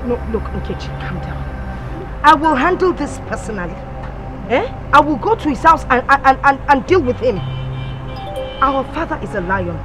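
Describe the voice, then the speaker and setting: A woman's voice: high-pitched, very animated, normal speed. A woman playing a commanding older sister, scolding her younger brother. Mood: soothing. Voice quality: forceful, scolding. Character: stern commanding older sister, family enforcer. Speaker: eldest sister. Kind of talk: film drama acting. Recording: echoey room with music bed.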